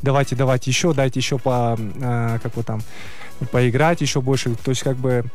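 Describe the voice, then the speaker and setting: A man from Kyrgyzstan, speaking as an athlete guest in a casual interview, explaining things haltingly with many hesitations. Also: medium pitch, steady tone, a little mellow, soft background music. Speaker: athlete guest, player of a traditional horseback sport.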